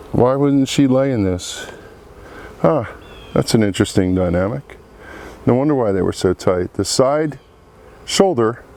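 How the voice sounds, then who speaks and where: A man with a cold and a froggy, congested voice, talking slowly through a beehive inspection outdoors among buzzing bees. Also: medium pitch, darkish tone, a little hiss or hum. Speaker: beekeeper. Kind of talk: casual hive inspection commentary. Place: outdoor apiary.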